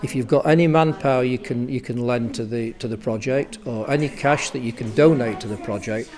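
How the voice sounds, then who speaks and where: A man's voice: medium pitch, a little fast, darkish tone. A man, a local parish councillor making a plain-spoken appeal in an interview indoors. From England. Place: scout hall indoors.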